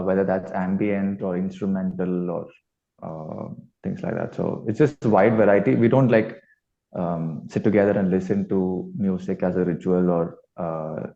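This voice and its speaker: A man's voice: low-pitched, dark tone. A man giving casual, tentative interview answers as a band musician, on slightly dull audio. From South India. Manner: tentative, thinking aloud.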